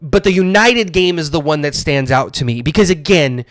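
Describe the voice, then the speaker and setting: A man co-hosting a casual sports podcast, talking in animated, opinionated bursts with plenty of fillers. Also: fairly high pitch, normal speed, dry recording, studio quality. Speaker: podcast co-host.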